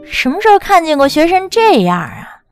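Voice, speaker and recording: A woman acts a playful, gossipy young woman in an audiobook drama. Her voice is high and very animated. She chatters and teases in exclamations over faint music. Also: normal speed, full character voice. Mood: playful, prying. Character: bubbly, teasing, gossipy young female best friend.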